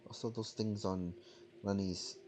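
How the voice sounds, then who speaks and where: A man streaming a video game with casual commentary, musing aloud in a halting way over game music. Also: on the low side, fairly even intonation, noisy background.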